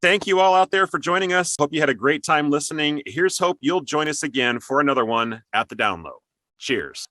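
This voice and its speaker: A man's voice: fairly high pitch, lively intonation, brisk, bright, forceful tone, no echo. A middle-aged man hosting a casual interview show.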